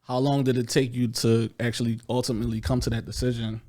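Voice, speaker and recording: A man at mid pitch hosts a casual podcast interview. He circles around his questions and restarts them before landing them. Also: level tone, quick pace, darkish tone. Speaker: podcast host.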